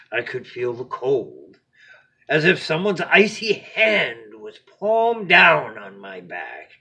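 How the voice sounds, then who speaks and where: A man reads a children's bedtime story in a husky voice. He takes his time, leaving dramatic pauses, and his pitch moves widely as phrases rise up and fall away to a hush. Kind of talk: expressive read-aloud of a children's picture book.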